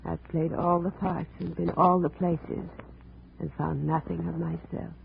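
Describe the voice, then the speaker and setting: Lonely, intense wife: creaky voice, formal and melodramatic, on thin old radio sound. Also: female voice, lowish voice, level tone. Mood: mournful. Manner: slow, formal, melodramatic, without contractions. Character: brooding, lonely abandoned wife, haunted and intense. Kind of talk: 1950s radio drama acting. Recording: tinny, noisy old radio recording.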